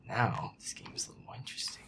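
A man muttering sarcastic, sweary gameplay commentary in a breathy, casual way, close to the mic. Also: rapid-fire, in a whisper. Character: feisty werewolf boyfriend playing a scary game. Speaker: gamer playing a horror game.

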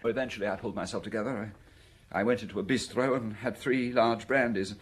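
An actor playing a shifty, glib, self-pitying middle-aged man with a husky voice in a radio drama. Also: fairly low pitch, fairly even intonation, quick pace. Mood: shaken. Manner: glib, defensive.

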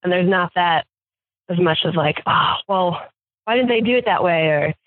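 A woman's voice: fairly low pitch, moderate pace, no echo. Give me a chatty woman farm manager, heard over a thin phone connection. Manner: chatty, many fillers and restarts, laughs often. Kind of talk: casual interview talk. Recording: tinny phone line, dry.

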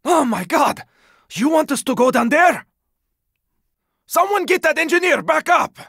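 A man playing a gruff, weary, sardonic squad fighter in a zombie video game, speaking in terse lines with a raspy voice. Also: very high-pitched, animated, a little slow, a bit bright, no echo, clean studio sound, exaggerated voice. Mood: urgent, shouting. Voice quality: raspy, gravelly. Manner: terse, urgent, swearing. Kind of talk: video game character combat barks.

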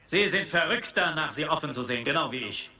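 Brief: arrogant treasure-hunting villain, film dub, very sharp bright tone, faint noisy ambience. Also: male voice, a little high, animated, fast. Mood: smug. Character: arrogant, cultured male treasure hunter villain, obsessed with history.

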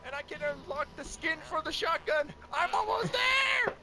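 A man's voice: very high-pitched, very animated, moderate pace. A man in casual gaming voice chat with friends, playful and giggly, often putting on mock outrage. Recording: voice chat over loud game audio. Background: gunfire and vehicle sounds from the game.

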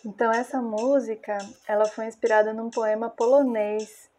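A Brazilian woman in her thirties with a Brazilian Portuguese accent, a music producer calmly explaining how she built a track, recorded on an earphone mic in a small room. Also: a little high. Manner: calm, measured, unhurried. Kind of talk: casual explainer of a music project.

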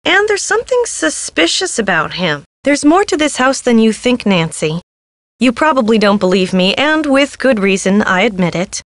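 Game innkeeper: affected mystic, dramatic and airy, higher register, dry studio sound. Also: female voice, sing-song, normal speed, no echo. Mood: chatty, earnest. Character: theatrical mystical woman innkeeper devoted to the spirits. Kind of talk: video game character dialogue.

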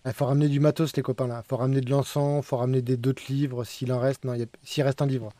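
A man's voice: mid-range voice, level tone, fast talker, dark tone, no room sound. A man streaming a ghost-hunting game live, giving urgent, repetitive directions to his teammates. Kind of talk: live gaming commentary.

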